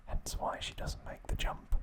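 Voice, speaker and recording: A man hosting an ASMR video, softly sharing trivia in a chatty, informal, unhurried way. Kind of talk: whispered ASMR trivia narration.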